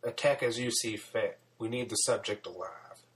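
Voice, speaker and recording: A man playing a grave male army lieutenant in an audiobook, steady and deliberate as he gives orders. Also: fairly low pitch, natural voice.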